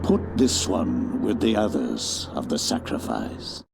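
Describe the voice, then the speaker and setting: A man playing a menacing, arrogant warlord in a video game, with a gravelly voice and a pompous, commanding, theatrical manner. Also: low voice, very animated, slow pace, heavy background noise, exaggerated voice. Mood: scornful menace. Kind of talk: scripted video game villain acting. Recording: loud music over the voice.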